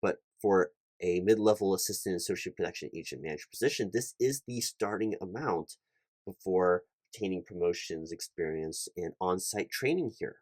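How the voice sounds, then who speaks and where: A man with a fairly low voice, recorded close and dry, roleplays a corporate hiring manager. He sounds earnest and a little flustered, stumbling over his words as he explains things in official business language. The delivery is that of a one-sided ASMR interview. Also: lively intonation, darkish tone, no echo. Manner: halting, stammering corporate earnestness.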